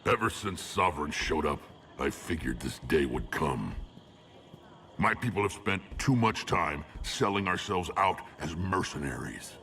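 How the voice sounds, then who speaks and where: A huge, battle-worn alien clan warlord for a video game. The voice is an extremely low, gravelly rumble. He is blunt, proud and dryly funny, over faint background noise. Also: animated, a little slow, bright, forceful tone, heavily acted. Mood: gruff, resolute. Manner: blunt, dry-humored. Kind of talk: video game cutscene acting.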